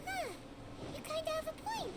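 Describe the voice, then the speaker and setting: A woman voicing a small, childlike, excitable game companion with a squeaky voice. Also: normal speed. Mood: playful. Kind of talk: video game character acting.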